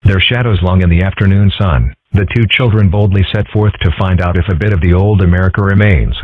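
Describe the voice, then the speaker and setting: A pompous storybook narrator, as a man puts him on speaks with deep, husky gravity and epic phrasing. The voice is low. The sound is dry and phone-thin. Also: fairly quick, no room sound. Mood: grandiose. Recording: dry, tinny phone-like recording.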